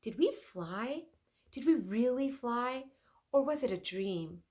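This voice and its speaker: A woman voicing an excitable, dreamy older male inventor and artist in a children's storybook, dramatic and emphatic. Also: fairly high pitch, takes it slowly, pitched above the performer's own voice. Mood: dazed, amazed. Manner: emphatic, exclaiming. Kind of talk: children's storybook read-aloud.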